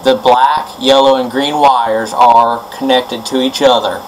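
A man presenting an informal hands-on electronics tutorial in a halting, improvised way, recorded on amateur camera audio at a workbench indoors. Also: medium pitch, flat delivery, a little hiss or hum, poor audio.

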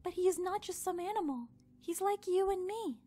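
A woman voicing a gentle, shy, earnest young pony in an animated fan drama, soft-spoken and hesitant. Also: very high-pitched, normal speed.

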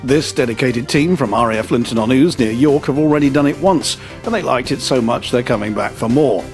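A man narrating a local news video in a measured, scripted voice-over. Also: mid-range voice. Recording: voice-over over loud music.

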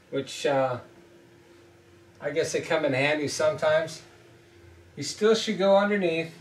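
A man's voice: a little high, fairly bright tone, recorded from a distance. A middle-aged man teaching ship fitting at a whiteboard, blunt and emphatic, repeating points as he talks through the steps. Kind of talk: whiteboard welding lesson. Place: small indoor room at a whiteboard. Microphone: camera mic from a few feet away. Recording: roomy echo, voice off-mic.

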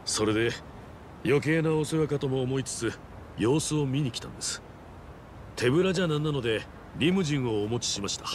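A man's voice: mid-range voice, lively intonation, natural voice. Courteous, unruffled game fixer, male actor, mid-range voice, dry understatement, faint background noise. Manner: polite, measured, wry. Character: polished, composed male fixer, dryly courteous.

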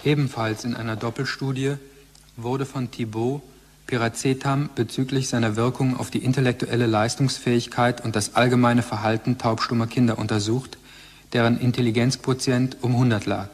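Man reading formal narration, medium pitch, big echoey hall sound. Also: fairly even intonation, moderate pace. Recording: long reverberant hall.

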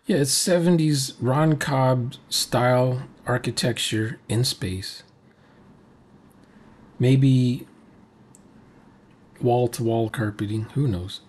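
Husky older man giving reaction commentary. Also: mid-range voice, slow pace, pauses often, a little mellow. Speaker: video host commenting on a game recording.

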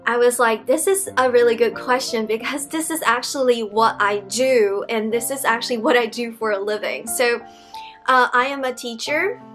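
A woman's voice: a little high, moderate pace, fairly bright tone. Young woman, Mandarin Chinese accent, English teacher vlogging, small room, light background music. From Beijing, China. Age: young adult. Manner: friendly, explanatory, with frequent ums and restarts. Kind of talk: casual talk to camera. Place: small indoor room with wood-panelled wall.

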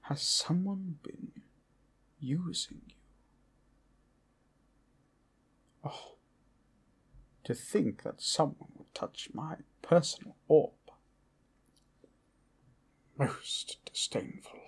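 Man playing a slow, breathy old scheming wizard. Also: mid-range voice, heavily acted. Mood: indignant. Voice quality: breathy, husky. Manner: theatrical, musing, slipping into whispers. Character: scheming, grumbling old male wizard doting on his orb. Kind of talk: ASMR fantasy roleplay monologue. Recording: music behind the voice.

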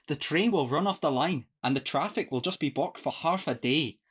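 A man's voice: a little high, expressive, normal speed, a bit bright, husky. Man playing an earnest Scottish country lad, dull recording. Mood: cheerful, reassuring. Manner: folksy, earnest, broad dialect. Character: sly, cheerful young Scottish country lad. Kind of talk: theatrical farce radio play acting.

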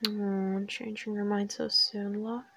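A woman performs a crazed female assassin holding a captive in an audio roleplay. Her voice is whispery and husky with a gravelly fry. She keeps a narrow, controlled pitch, taunting and threatening without any hurry. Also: level tone, pushed and bright, exaggerated voice. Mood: smug, taunting. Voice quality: breathy, whispery. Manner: taunting, unhurried, coolly threatening. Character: crazed, teasing, menacing female assassin kidnapper.

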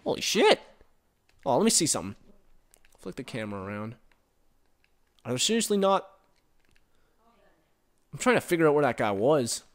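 A man giving casual, rambling commentary while playing a game, recorded on a headset and reacting loudly to the action. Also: mid-range voice, sing-song, normal speed, pauses often. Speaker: gaming commentator.

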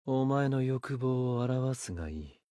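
A man voices a male serpent god for a game, arrogant and seductive. He speaks slowly and deliberately in a mid-pitched voice, condescending toward the humans whose desires amuse him. The sound is dry, with no room around it. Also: expressive, mellow, no room sound, natural voice. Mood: teasing, condescending. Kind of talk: Japanese game character voice lines.

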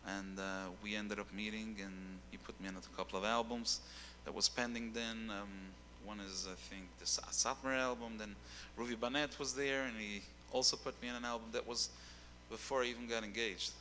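A young adult man, a singer as guest, talking casually into a handheld mic on a dark stage, often repeating words as he thinks. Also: lowish voice, steady tone, a little fast, hardly any pauses, big-room sound, slight background noise, low-quality recording. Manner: rambling, repeats words while searching.

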